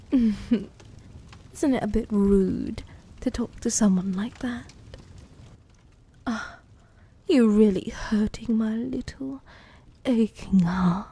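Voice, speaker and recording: A woman's voice: fairly high pitch, dark tone, full character voice. A British woman voicing a sadistic, possessive female werewolf in a teasing, menacing, whispered ASMR roleplay. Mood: teasing menace. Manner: slow, teasing, menacing, breathy murmur. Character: sadistic, possessive female werewolf-witch hybrid toying with prey.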